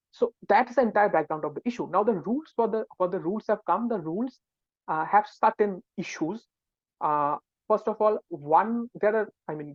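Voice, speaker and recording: A man's voice: high voice, no echo. A man, an academic researcher on a webinar panel, explaining a topic step by step with frequent hesitations. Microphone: video call. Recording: dull, dry video call audio.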